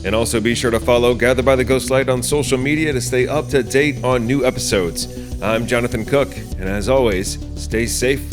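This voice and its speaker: A man's voice: mid-range voice, normal speed, over music. A man hosting a podcast, speaking in a conversational presenting style. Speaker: podcast host.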